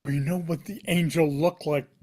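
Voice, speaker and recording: A man's voice: a little high, steady tone, normal speed, warm tone, lightly acted. A man reading a stern, affronted military cleric commander at a script table read. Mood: stern, controlled fury.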